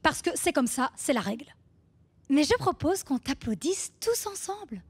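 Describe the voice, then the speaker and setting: A woman's voice: high-pitched, sing-song, moderate pace, no room sound. An actress playing a condescending, controlling woman who leads a talk group in a radio fiction, mock-sweet and sardonic. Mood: patronizing. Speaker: session leader.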